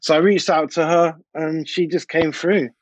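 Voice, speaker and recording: A man's voice: fairly high pitch, steady tone, normal speed, slightly rough, dry recording, highs cut off. A British man, a DJ and producer, chatting informally and anecdotally as an interview guest. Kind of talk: casual interview chat.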